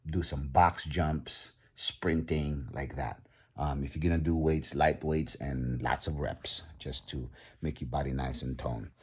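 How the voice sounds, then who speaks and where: A man around fifty with a very deep voice. He has a husky edge and talks in a halting, casual way, stopping for ums and restarting his sentences as he goes. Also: fairly even intonation. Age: 50s. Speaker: vlogger. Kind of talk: casual vlog talk.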